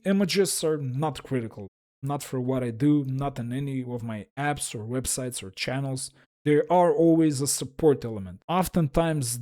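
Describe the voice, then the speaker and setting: Russian-accented man, mellow and dark, self-deprecating creator talking straight to camera. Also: medium pitch. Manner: frank, wry, self-deprecating. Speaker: YouTube creator. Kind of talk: solo explainer talk.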